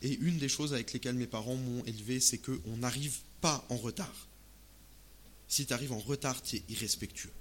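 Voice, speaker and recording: A man from Alsace preaching a sermon in casual, expressive, conversational language, often asking rhetorical questions. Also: medium pitch, normal speed, slight background noise. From Alsace, France. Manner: expressive, uses rhetorical questions and acted-out asides.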